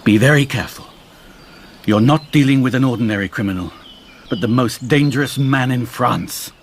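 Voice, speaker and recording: A man playing an anguished, secretive, honourable gentleman in a radio drama, speaking formally and guardedly. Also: medium pitch, normal speed, background noise, lightly acted. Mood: grave, resolute. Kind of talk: British radio drama acting.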